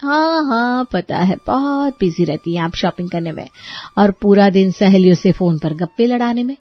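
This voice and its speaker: A woman voicing a bossy, sharp-tongued young bride in a cartoon story, commanding and sarcastic. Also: mid-range voice, animated, moderate pace, dry recording, dull audio, faint music behind, lightly acted.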